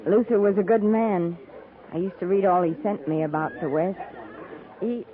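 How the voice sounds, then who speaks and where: An actress playing a bitter, wounded, plainspoken frontier widow in a 1950s radio western, with folksy rustic phrasing. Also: female voice, medium pitch, normal speed, lightly acted. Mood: subdued, sorrowful. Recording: muffled, tinny 1950s radio recording.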